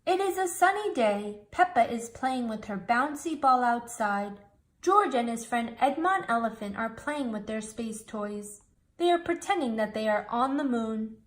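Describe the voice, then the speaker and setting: A woman reading a children's storybook aloud, slowly, clearly and expressively. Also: fairly high pitch, animated. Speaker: storybook reader.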